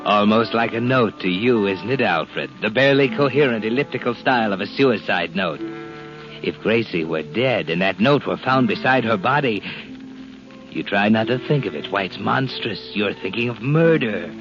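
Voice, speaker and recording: An actor playing a sinister, taunting all-knowing narrator, insinuating and ominous, in 1940s radio suspense style. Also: male voice, lowish voice, expressive, normal speed, close to an everyday voice. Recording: muffled 1940s radio recording. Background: music.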